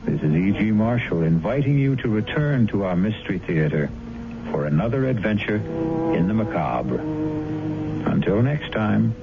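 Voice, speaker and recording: A man hosting a radio mystery show, giving a measured, wry, theatrical closing narration. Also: fairly low pitch, very animated, vocal fry. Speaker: host and narrator. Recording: tinny old radio broadcast with music.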